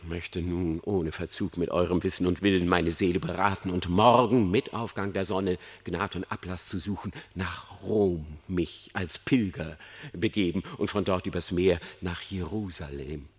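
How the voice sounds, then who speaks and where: An actor playing a sly, eloquent fox in a verse radio play, persuasive and ingratiating in a declamatory way. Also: male voice, deep voice, fairly even intonation, normal speed, slightly rough. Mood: feigned remorse. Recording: reverberant studio sound.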